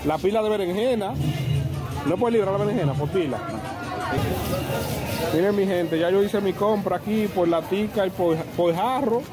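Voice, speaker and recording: A man's voice: high voice, normal speed, long pauses, music in the background, a crowd in the background, low-quality recording. A man with a Dominican accent, vlogging casually as he walks through an outdoor market and chats with vendors. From Dominican Republic. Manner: chatty, asks vendors questions. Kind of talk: casual travel vlog. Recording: loud outdoor street noise.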